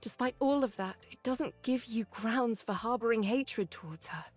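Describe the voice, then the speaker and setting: An actress playing a calm, caring woman officer in an anime game, speaking in a measured, gently firm way. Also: on the high side, faint music behind, natural voice. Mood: gently consoling. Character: calm, caring, mature female squad second-in-command.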